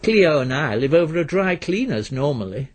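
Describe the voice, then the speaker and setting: A man's voice: fairly high pitch, sing-song, moderate pace. A man voicing a chummy, cheeky young man who talks in a familiar, teasing way in a story reading. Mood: chummy, insinuating.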